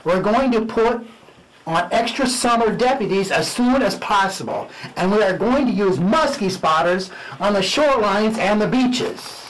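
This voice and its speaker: High-voiced anxious police chief, played by a man. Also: expressive, unhurried, low-quality recording. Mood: firm. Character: anxious small-town police chief on beach duty. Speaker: amateur radio drama actor. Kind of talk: spoof radio drama acting. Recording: loud music and crowd behind.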